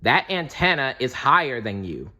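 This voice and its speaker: A man voicing a fearless, excitable superhero in a read-aloud storybook, earnest and declarative. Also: medium pitch, moderate pace, voice pushed up, full character voice. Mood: challenging. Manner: shouting, declarative.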